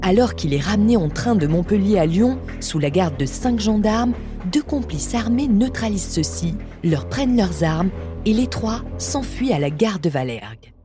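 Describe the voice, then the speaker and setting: A woman's voice: medium pitch, very animated, normal speed. A woman reading a scripted true-crime story as a podcast narrator. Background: music.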